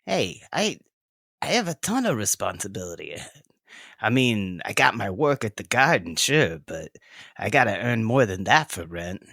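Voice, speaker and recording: A man's voice: lowish voice, sing-song, moderate pace, pushed and bright, no echo, clean studio sound, exaggerated voice. A man playing a tough, blunt, slangy sixteen-year-old half-orc girl in an audio drama. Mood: defensive.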